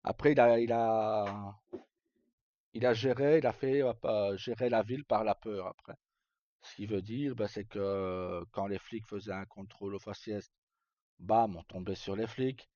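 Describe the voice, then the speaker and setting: A man chatting casually as a livestream host, telling roleplay stories in a halting, rambling way and often repeating words as he goes. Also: fairly low pitch, fairly even intonation, dark tone, no room sound. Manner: halting, rambling, frequent self-repetition. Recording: dull lo-fi mic, dry.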